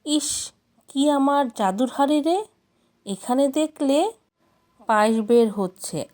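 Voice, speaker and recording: Woman voicing a lively, cocky young village man. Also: on the high side. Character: gullible, hot-tempered young village man.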